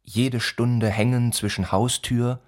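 A man narrating a literary radio play with terse, deliberate phrasing. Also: medium pitch, steady tone, a little hiss or hum, natural voice.

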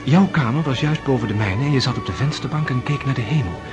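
A courtly prince played by a male actor in a period radio play, formal and gallant, with a tender undertone of someone once tired of life. His voice sits at a medium pitch, and loud music plays behind him. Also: fast, lightly acted. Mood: earnest, tender. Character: courtly, once world-weary prince, tenderly smitten with a young countess. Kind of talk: period radio drama acting.

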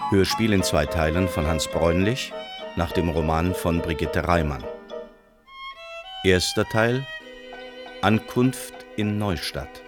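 A man formally announcing the titles of a radio play. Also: sits low.

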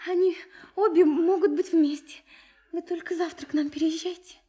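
A young woman in love in a Russian radio play. Her voice is high and soft-edged, and she speaks impulsively and trustingly, with halting, repeated phrases full of feeling. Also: fairly even intonation. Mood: relieved, excited. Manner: breathless, emotional, halting, repeating words.

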